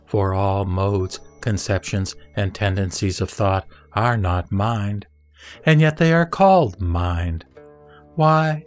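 A man playing the Buddha as a serene teacher, reciting scripture in a measured, didactic, emphatic way. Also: lowish voice, faint music behind. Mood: questioning, instructive. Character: the Buddha, serene male spiritual teacher instructing a disciple. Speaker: sutra audio drama reader.

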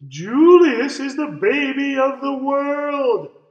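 A man's voice: very high voice, animated, takes it slowly, dull audio, voice pushed up, heavily acted. A man voicing doting mouse parents from a picture book, who gush and chide with exaggerated emphasis. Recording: roomy, echoey room sound.

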